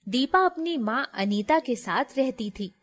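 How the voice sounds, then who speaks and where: A woman's voice: high-pitched, expressive, measured pace, a little hiss or hum. A woman storyteller reading a scripted moral tale.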